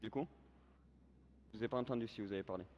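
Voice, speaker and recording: A man's voice: lowish voice, quick pace, highs cut off. A man playing a police commander in improvised online role-play, giving bossy, formal orders mixed with threats. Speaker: player acting as a police commander. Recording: gaming voice chat with background noise.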